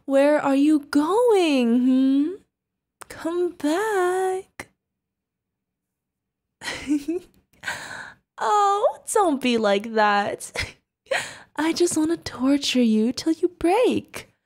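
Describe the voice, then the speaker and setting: A woman's voice: sits high, expressive, drawn-out words, pauses often, a little mellow, exaggerated voice. A woman voicing an insane, sadistic female yandere killer in an ASMR roleplay, creepy and giggling, with teasing, singsong threats. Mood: taunting, giddy. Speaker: voice actor.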